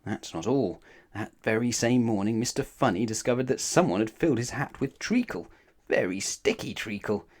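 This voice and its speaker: A man's voice: medium pitch, animated, normal speed. A man reading a children's story aloud as narrator, expressively and with dramatic emphasis. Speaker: storybook reader.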